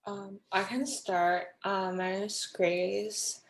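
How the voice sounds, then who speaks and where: A woman's voice: mid-range voice, little pitch movement, slow pace. Hesitant young woman from China. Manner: hesitant, with many "um"s. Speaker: graduate student panelist. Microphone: video call.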